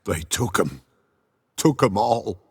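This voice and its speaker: A man with a British accent voicing a haunted, mournful peasant villager in a video game, hoarse and rustic in his telling. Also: on the low side, lively intonation, no room sound, heavily acted. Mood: haunted dread. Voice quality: hoarse, raspy, creaky. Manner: slow, haunted, rustic storytelling.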